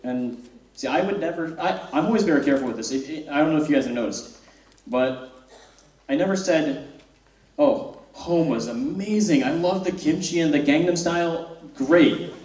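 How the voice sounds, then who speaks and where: A man's voice: fairly high pitch, normal speed, a little mellow. Young man on stage, wry and self-mocking with hesitant restarts, in a roomy hall. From born in South Korea, raised in the US. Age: young adult. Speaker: student speaker. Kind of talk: personal spoken-word talk on stage. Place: stage in a hall with a live audience. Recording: roomy hall, mic from far off.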